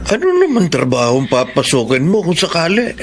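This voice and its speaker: A poor country dad, caring, voice swings up and down, radio drama, faint noise. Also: male voice, on the high side, sing-song, brisk. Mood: concerned, practical. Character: caring, hard-up rural father, middle-aged charcoal maker. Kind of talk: Filipino radio drama acting.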